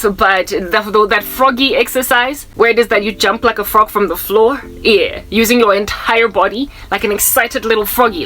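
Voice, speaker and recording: A woman's voice: mid-range voice, a bit bright, low-quality recording. South African woman in her thirties preaching. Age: 30s. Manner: rambling, repeats herself, mixes in Zulu words, groans to mimic old people. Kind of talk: casual spiritual talk to camera.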